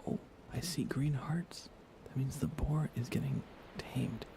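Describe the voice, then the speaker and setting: A man live streaming a game in a casual ASMR style, chatting softly with viewers in a hushed voice over background noise. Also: medium pitch, measured pace, gravelly.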